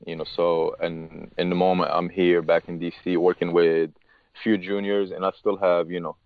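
A man, a tennis coach being interviewed, speaking haltingly and often restarting his words. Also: low voice, little pitch movement, no room sound, phone-quality sound. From Morocco. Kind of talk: casual interview talk.

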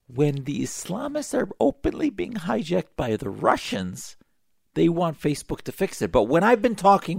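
A man's voice: a little high, gravelly, darkish tone, no echo, clean studio sound. A man giving opinionated talk-show commentary in an emphatic, sarcastic, combative manner. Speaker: political commentator.

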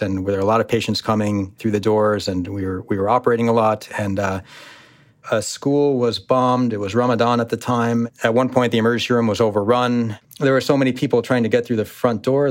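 An American man telling personal stories as an interview guest, in a reflective, halting way. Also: lowish voice, no room sound. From United States.

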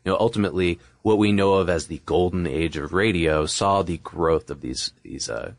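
A man's voice: sits low, moderate pace, dry recording. An American man co-hosting a podcast, explaining things in a casual, conversational way. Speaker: podcast co-host.

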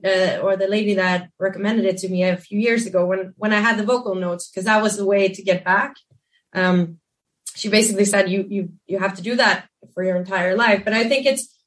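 A woman singer with a Swedish accent and a naturally raspy voice, chatting candidly about looking after her voice. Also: medium pitch, far from the mic. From Sweden. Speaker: singer, guest. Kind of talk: casual conversation.

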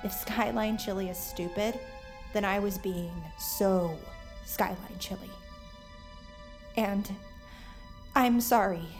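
A woman's voice: medium pitch, fairly even intonation, slow pace, pauses often, faint music behind. An actress playing a stubborn, wry young woman who fights monsters in an audio drama. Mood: remorseful.

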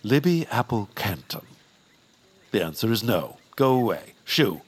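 Pompous, swooping villainous baron. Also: male voice, fairly low pitch, very animated, a little slow, breathy edge, exaggerated voice. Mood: dismissive. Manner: pompous, dismissive, theatrical. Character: pompous grumpy villainous baron, grudgingly softening. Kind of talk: comic audio fiction acting.

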